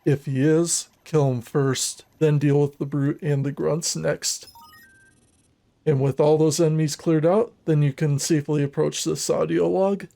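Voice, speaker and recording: Guy doing walkthrough commentary, kinda high but mellow voice, methodical and measured, explains step by step. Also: steady tone, gravelly. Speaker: video game guide narrator.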